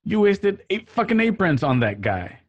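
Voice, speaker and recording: Man playing a bossy, animated, scolding mobster. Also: high-pitched, moderate pace, dull audio. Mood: angry scolding. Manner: bossy, foul-mouthed. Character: gruff, scolding mobster running a pizzeria.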